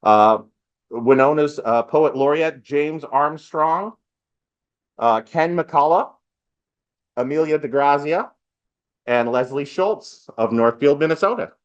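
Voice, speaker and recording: A man's voice: on the high side, takes it slowly, long pauses, smooth, lo-fi sound. A man speaking as host of a live event, giving a part-scripted welcome speech with lightly joking asides. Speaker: event host, master of ceremonies.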